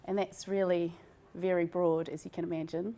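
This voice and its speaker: A woman's voice: fairly low pitch, flat delivery, normal speed, warm tone. A woman with a New Zealand accent presenting research in an informal, conversational academic lecture.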